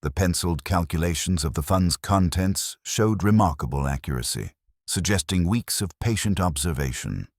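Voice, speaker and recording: A very low male voice narrates a scripted mystery with formal, measured phrasing. The delivery moves widely in pitch, and the sound is dry and close. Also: animated, no echo.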